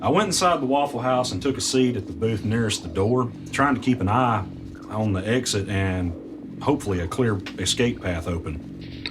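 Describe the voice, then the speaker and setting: A man's voice: on the low side, lively intonation, normal speed, music in the background, natural voice. An actor playing a wary, nervous audio editor telling his uneasy first-person story in an audio drama. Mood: tense, wary. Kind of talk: audio drama narration and dialogue. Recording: clearly noisy background.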